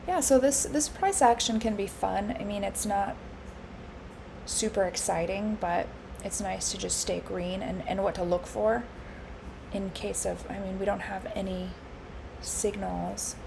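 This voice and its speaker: A woman live-streaming her futures trading, congested and hoarse from allergies, thinking aloud with lots of fillers and restarts. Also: mid-range voice, fairly even intonation, unhurried, noisy background. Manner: musing, with frequent fillers and restarts.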